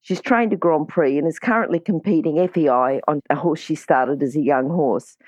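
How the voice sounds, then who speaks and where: A woman hosting a casual interview, chatty and quick to chime in with agreement. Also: sits low, darkish tone, dry recording. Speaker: podcast interview host.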